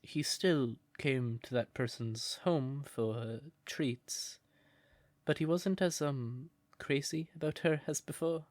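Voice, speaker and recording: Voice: mid-range voice, takes it slowly, no room sound, lightly acted. A performer voicing a shy, smitten crow turned human in an intimate comfort roleplay, hesitant and stammering, naively earnest. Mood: uncertain.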